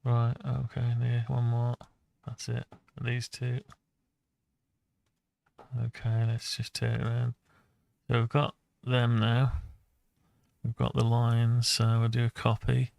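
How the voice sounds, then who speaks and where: A man thinking aloud and muttering to himself through an unscripted software walkthrough. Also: mid-range voice, monotone, slow pace, creaky, dry recording. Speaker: hobbyist tutorial maker.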